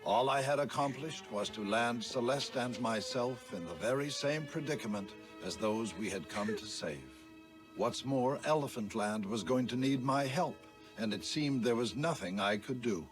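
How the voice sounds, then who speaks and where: A man playing a dignified, kindly father and ruler in a children's cartoon, speaking earnestly in measured, formal phrases. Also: medium pitch, lightly acted. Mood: grave, reflective. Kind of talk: animated series voice acting. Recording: music under the voice.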